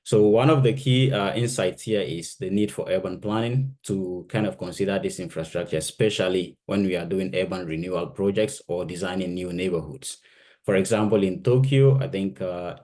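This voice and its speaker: Man in his thirties from Ghana, very deep voice, conference researcher, measured delivery. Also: a little mellow. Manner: measured, fills pauses with "uh". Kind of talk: conference presentation.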